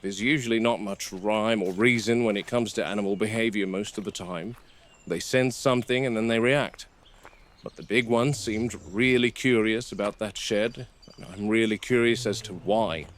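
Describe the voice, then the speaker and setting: A man playing a seasoned, watchful game warden, recording an analytical field log in measured, clipped observations. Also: lowish voice, lightly acted. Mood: curious, wary. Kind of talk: found-footage field log monologue. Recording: noisy VHS-style found-footage audio.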